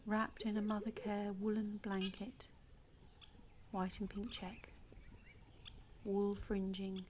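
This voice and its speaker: A woman's voice: medium pitch, flat delivery, darkish tone, a little hiss or hum, lightly acted. A woman with an English accent playing a solitary, wistful woman in an audio play monologue, confiding and reflective. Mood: quietly wistful. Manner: musing, reflective, with tag questions and pauses. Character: solitary, wistful adopted woman searching for her birth mother.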